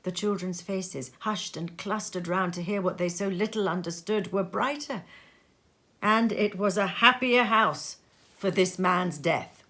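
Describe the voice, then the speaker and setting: A woman storyteller giving an expressive, measured narration of a story, with dramatic pauses. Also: mid-range voice. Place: sitting room.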